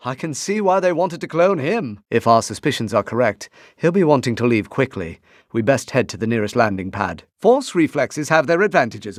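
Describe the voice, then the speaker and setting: A man's voice: medium pitch, no echo, close to an everyday voice. A male actor playing a composed, wry Jedi master in a video game, with a refined British accent and dry, formal phrasing. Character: composed, wry Jedi master, adult man mentoring an apprentice. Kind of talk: video game voice clips, short barks and dialogue lines.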